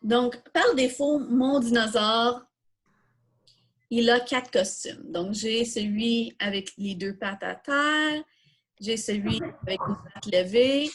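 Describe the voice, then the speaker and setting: Slow-paced, husky woman with Quebec French accent. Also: a little high. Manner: self-questioning, explanatory. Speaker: coding workshop instructor. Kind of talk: informal step-by-step tutorial, thinking aloud.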